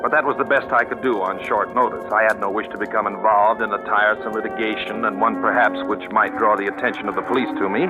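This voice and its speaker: A man's voice: fairly low pitch, muffled recording, lightly acted. A man acting a wry, jaunty sleuth in a 1940s radio thriller, with a sardonic, dryly formal, wordy delivery. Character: wry, jaunty male sleuth narrating his own story. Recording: vintage radio drama, music behind.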